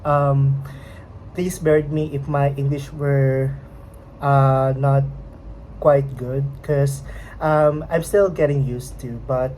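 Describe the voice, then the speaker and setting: A young woman from the Philippines speaks English as a second language, with a clear Filipino accent. Her voice sits low and mellow, and she pauses and hesitates often as she searches for the right words. A faint background hum runs under her casual vlog talk. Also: steady tone, rough recording. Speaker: vlogger. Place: home at night.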